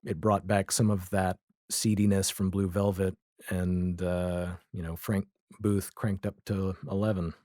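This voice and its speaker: A man chatting casually as a podcast guest, telling stories in a halting, conversational way. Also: low voice, flat delivery, a little mellow, dry recording, studio quality. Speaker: podcast guest, audio drama creator. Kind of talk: casual chat.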